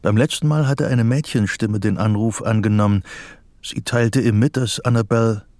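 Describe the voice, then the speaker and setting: An actor narrating a psychological thriller radio drama in sober, scripted literary prose. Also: male voice, fairly low pitch, moderate pace, darkish tone, no room sound, close to an everyday voice. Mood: sober, measured.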